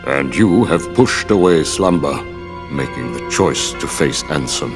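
An actor playing a cryptic, robed older man in a video game, speaking in a measured, theatrical, enigmatic way. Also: a bass voice, animated, over music, full character voice. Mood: cryptic, grave. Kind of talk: video game cutscene acting.

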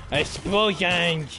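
A man giving live gameplay commentary, excitable and jokey, exclaiming and swearing as he plays. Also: fairly high pitch, low-quality recording. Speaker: game streamer. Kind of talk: live gameplay commentary, reacting and reading chat. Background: video game music, gunfire.

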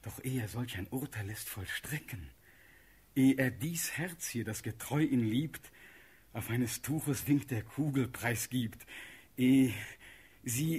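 A proud young prince facing a death sentence in a classical radio drama. His voice is very husky and mid-pitched. He declaims his verse slowly and passionately, with pauses for effect. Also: a little mellow. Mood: defiant, trusting. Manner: declamatory, impassioned, pausing for effect.

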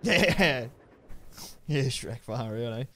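A man in his thirties with an Australian accent, chatting casually with viewers while streaming a game on a headset mic, relaxed and chatty with sudden loud outbursts. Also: mid-range voice, takes it slowly. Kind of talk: casual live-stream chat while gaming.

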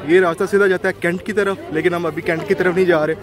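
Male travel vlogger, chatty guide voice a bit on the high side, recorded outdoors amid a crowd. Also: fairly even intonation, fast. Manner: chatty, pointing things out while walking. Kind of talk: casual walking tour vlog. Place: busy hill-town street outdoors. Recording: crowd noise around the speaker. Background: crowd of passers-by.

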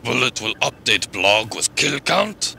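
A man playing a huge, simple Russian gunner with a gravelly voice, a Russian accent and plodding broken English. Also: mid-range voice, very animated, unhurried, pushed and bright, slight background noise, heavily acted. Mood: ponderous, puzzled.

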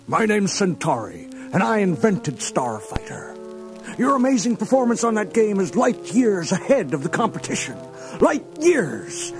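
An actor playing a wily, grinning inventor with coaxing, theatrical patter in a children's storybook recording. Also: male voice, high-pitched, huge pitch swings, measured pace, over music, heavily acted. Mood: wheedling, gleeful. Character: wily, grinning salesman-like alien game inventor. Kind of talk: children's read-along storybook acting.

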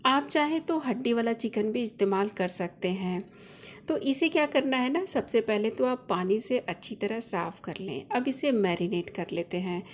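A woman's voice: a little high, slight background noise. A woman hosting a cooking channel, explaining each step of a recipe in a chatty, instructive voice-over. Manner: friendly instructive, chatty home-cook explaining.

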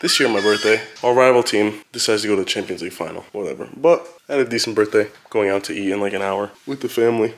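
A man's voice: on the low side, quick pace, few pauses. A twenty-year-old American man vlogging casually, with slangy, crude, teasing talk and plenty of swearing. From New Jersey, United States. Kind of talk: casual vlog chat to camera, heavy swearing. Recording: noisy handheld vlog audio.

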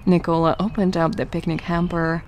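A woman's voice: fairly low pitch, little pitch movement, normal speed, darkish tone, slight background noise. A woman giving scripted third-person narration for an audio drama.

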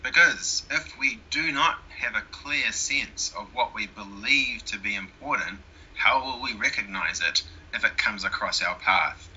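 A man's voice: fairly low pitch, a little slow, bright, forceful tone, a little hiss or hum. A man with a New Zealand accent, a guest talking casually in an interview. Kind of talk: conversational interview. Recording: dull lo-fi sound.

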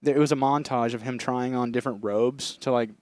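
Young male podcast co-host, mid-pitched, crude and sweary storyteller, casual, dry sound. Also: steady tone, fairly quick, darkish tone, no echo. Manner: crude, swearing anecdote-teller. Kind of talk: casual comedic podcast chat.

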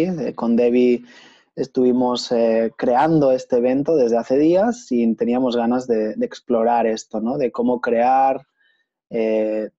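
Man, 30s, calm host with a mellow voice, unhurried and hesitant, muffled video-call sound. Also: medium pitch. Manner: relaxed, thoughtful, many hesitations. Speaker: host and certified facilitator. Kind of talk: casual video call interview. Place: small room at home. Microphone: earphone headset on video call. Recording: dull lo-fi video call audio.

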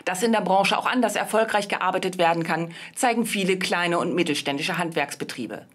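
A woman's voice: on the low side, brisk. A woman reading a scripted news voice-over as a reporter.

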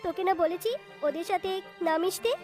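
A woman's voice: extremely high pitch, fairly quick, darkish tone. A woman voicing a haughty, mean cartoon tigress mother who scolds with contempt and threats. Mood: threatening. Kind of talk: cartoon voice acting. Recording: music behind the voice.

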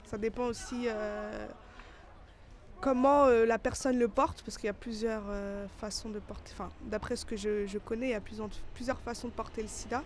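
A woman's voice: a little high, fairly even intonation, normal speed, darkish tone, slight background noise. A young woman answering a vox pop in a building lobby, hesitant, with many fillers, into a handheld mic. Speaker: passer-by interviewed. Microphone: handheld mic held by interviewer.

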